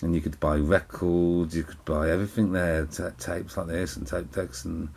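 Man, English accent, low pitch, rambling vlog talk, home living room, camera mic. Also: level tone, moderate pace, creaky, darkish tone. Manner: rambling, chatty, many fillers and restarts. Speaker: vlogger. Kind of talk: casual vlog chat to camera. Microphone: camera in front, close framing.

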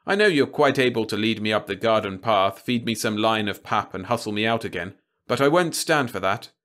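A man's voice: fairly low pitch, expressive, fairly quick, fairly bright tone. A man voicing an earnest, determined male news operator who talks in an urgent, insistent way.

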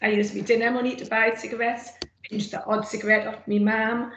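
A middle-aged woman with a rough, gravelly throat, giving an earnest, plain-spoken personal testimony from her notes on a video call. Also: fairly high pitch, flat delivery, a little fast, fairly bright tone. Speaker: former smoker speaking at a roundtable. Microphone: computer mic on a video call. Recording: echoey room, faint noise.

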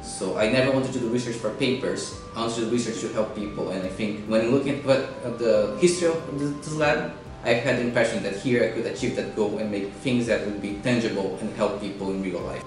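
A man in his thirties from Brazil, an assistant professor, answering interview questions in an earnest, eager way and restarting phrases as he searches for words. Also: medium pitch. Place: bare room with grey wall. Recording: echoey room, voice distant from mic.

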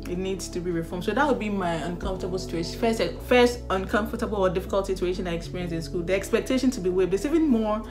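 A woman's voice: medium pitch, fast talker, hardly any pauses, music in the background. A young woman with a Ghanaian accent, chatting casually and animatedly as a vlogger, with laughs and sighs. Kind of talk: casual chat.

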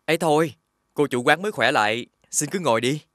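Jolly cartoon kid brother, young traveling trader, swooping and teasing, faint music behind. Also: male voice, a little high, lively intonation, brisk, a bit bright. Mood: cheerful. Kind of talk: animated fairy-tale dubbing.

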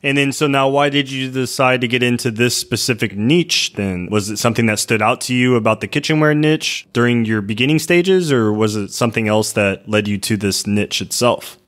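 A man's voice: medium pitch, moderate pace, few pauses, a bit bright. A man hosting a business podcast, upbeat and reading listing details from a script before asking questions. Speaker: podcast host.

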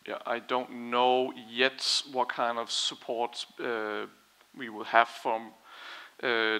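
A man presenting at a conference takes questions into a headset mic. His voice is bright and forward, with a gravelly fry. He explains things carefully and diplomatically, in a measured way. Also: mid-range voice. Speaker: company presenter answering audience questions. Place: conference stage in a large brick and concrete hall.

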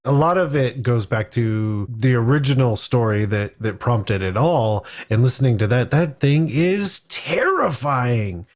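Mid-pitched man guesting on a podcast. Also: animated, unhurried, few pauses. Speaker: podcast guest, content creator. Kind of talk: casual podcast discussion.

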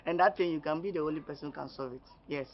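A man's voice: on the high side, racing, lightly acted. A man playing a giggly, pushy flirt. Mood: teasing. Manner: laughing often, playful teasing. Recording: dull audio with faint ambience.

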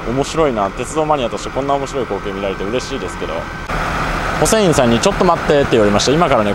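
A man's voice: mid-range voice, noisy background. A young man vlogging his travels casually into a handheld camera, explaining costs and rules in detail, outdoors at night and then in a small internet cafe booth. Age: young adult. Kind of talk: casual travel vlog narration.

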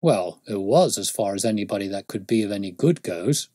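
A man's voice: on the low side, lively intonation, fairly quick, dark tone, no room sound, clean studio sound. A man voicing an uneducated, defensive London police constable with colloquial, ungrammatical working-class speech in an audiobook story. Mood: amused.

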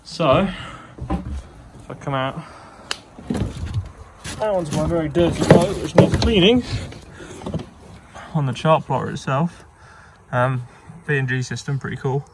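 A young man casually narrating electrical work on his small sailboat outdoors, relaxed and rambling with ums and self-corrections, recorded on an action camera. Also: on the high side, takes it slowly, long pauses, heavy background noise. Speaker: sailing vlogger. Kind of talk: casual how-to narration while working.

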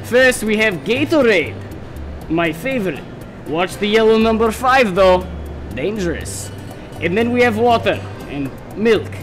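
A man's voice: high voice, expressive, unhurried, soft background music. A man voicing a cheerful, food-loving chef puppet in a comedy sketch. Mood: cheerful, curious. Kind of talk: scripted puppet sketch tour.

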